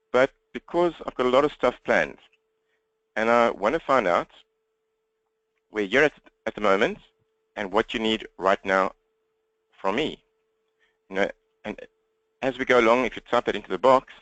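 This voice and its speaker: A South African man leads an online training session as its presenter. His voice sits at a medium pitch and is recorded close and dry. He talks quickly and plainly, putting questions to his listeners to keep them involved. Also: fairly bright tone, no room sound, poor audio. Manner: fast-talking, direct, engaging listeners with questions. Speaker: webinar presenter and coach for accountants. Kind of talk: interactive webinar presentation. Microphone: computer webinar microphone, presenter standing.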